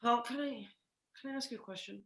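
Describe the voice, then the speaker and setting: A woman playing a sharp-tongued, exasperated sitcom wife who tries hard to sound reasonable, halting and insistent, in a comedic script read. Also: a little high, reverberant. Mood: polite, hesitant. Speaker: sitcom actor at a cast reunion table read.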